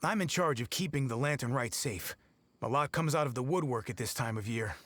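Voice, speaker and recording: An actor playing an earnest, dutiful patrol guard who explains things carefully in a video game. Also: male voice, medium pitch, animated, fairly quick, a bit bright, a little hiss or hum, natural voice. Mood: relieved, businesslike. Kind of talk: video game character acting.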